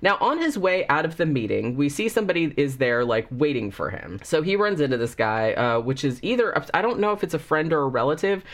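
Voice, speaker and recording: A man's voice: fairly high pitch, very animated, a little fast, few pauses, fairly bright tone. A man hosting a casual movie review, talking through a cold with a slightly congested voice in a rambling, chatty way full of fillers.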